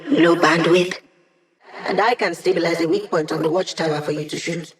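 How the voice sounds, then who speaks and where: A woman's voice: on the low side, fairly even intonation, normal speed, lightly acted. A woman playing a brainy, wry digital hacker in a video game, talking casually and playfully as the squad's tech support. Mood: upbeat, playful. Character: cheeky, brainy female hacker, a digital holographic young woman.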